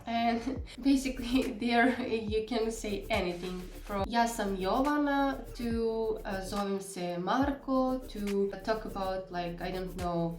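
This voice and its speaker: A woman's voice: medium pitch, darkish tone. A young woman with a Serbian accent, a native Serbian speaker, teaching an online language lesson in a friendly, encouraging, casual way. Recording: roomy echo with faint music.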